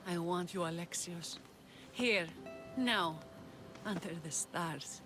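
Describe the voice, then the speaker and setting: A woman playing a brave young rebel leader and huntress in a video game, haunted yet tender, speaking in an earnest, measured, dramatic way. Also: on the low side. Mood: tender, intimate. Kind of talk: cinematic video game acting. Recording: cinematic music behind the voice. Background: music.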